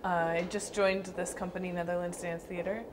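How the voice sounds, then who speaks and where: Soft-spoken, thoughtful young woman dancer. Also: lowish voice, little pitch movement, fairly quick, over music, rough recording. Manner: thoughtful, hesitant with fillers and restarts. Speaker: dancer being interviewed. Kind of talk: casual interview answers.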